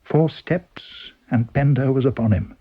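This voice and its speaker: A man's voice: mid-range voice, measured pace, husky, narrow, tinny audio. A man with a British accent reading a story aloud as dramatic scripted narration. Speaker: story reader.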